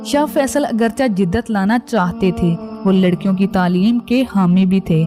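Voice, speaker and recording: A woman narrates in a scripted documentary style. Her voice sits at an average pitch for a woman. Music plays clearly behind her narration. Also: measured pace. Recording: background music under voice.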